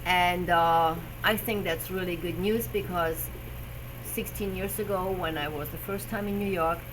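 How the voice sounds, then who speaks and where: Woman, German accent, lowish pitch, chatty live stream host, audible background noise. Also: fairly even intonation, unhurried. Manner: chatty, frequent fillers, searching for English words. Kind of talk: casual live stream talk.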